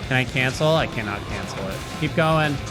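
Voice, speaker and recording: Low-pitched man streaming a game. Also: animated, brisk. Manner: informal, slangy, swearing. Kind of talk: casual live gaming commentary. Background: game music under the voice.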